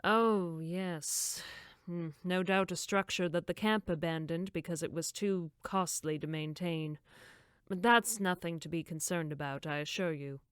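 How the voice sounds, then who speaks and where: A woman acts as a fussy female camp director in a horror audio drama. Her voice is low-set and very clean, recorded dry and close, and she speaks in prim, formal phrases that brush concerns aside. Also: measured pace, clean tone, no room sound, natural voice. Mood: unconcerned.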